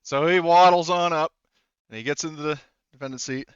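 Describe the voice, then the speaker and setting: A man's voice: on the high side, fairly quick, clear, resonant tone, no echo, lo-fi sound. A man working as a game master, narrating a tabletop game casually in an informal, profane, rambling way.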